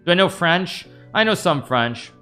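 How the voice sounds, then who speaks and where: A man's voice: sits high, expressive, a little slow, pushed and bright, clear, resonant tone, faint music behind. An American man in his thirties, streaming a chess game, thinking aloud and answering chat questions in a casual, rambling way. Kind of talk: casual live-stream commentary.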